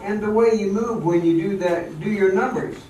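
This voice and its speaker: A man's voice: sits high, normal speed, darkish tone, lightly acted. Bossy showman played by an older man, echoey hall. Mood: critical. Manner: emphatic, instructing. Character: bossy, hopeful male song-and-dance showman. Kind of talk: amateur radio play acting. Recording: echoey hall, distant mic, faint noise.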